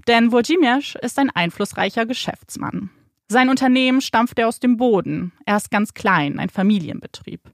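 A young woman co-hosting a podcast, narrating a crime case in a slow, suspenseful storytelling manner with rhetorical questions. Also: fairly high pitch, no echo, clean studio sound. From Germany, raised bilingual by Polish parents. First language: Polish. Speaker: podcast co-host telling the case. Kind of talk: dramatic true crime storytelling. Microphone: studio microphone.

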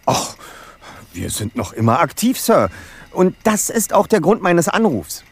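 A clever, self-assured young detective leader in a radio play. His voice is fairly high and swings animatedly. He chooses words precisely and formally, with a touch of pomposity. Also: huge pitch swings, measured pace, breathy edge, heavily acted. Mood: polite, formal. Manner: eloquent, precise, slightly pompous. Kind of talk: German audio drama acting.

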